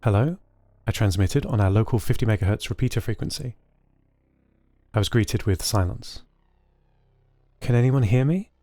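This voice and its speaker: A man playing a gentle, anxious sentient computer, narrating its story in a measured, earnest way. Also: on the low side, no room sound, lightly acted. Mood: lonely. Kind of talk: scripted first-person audio drama narration.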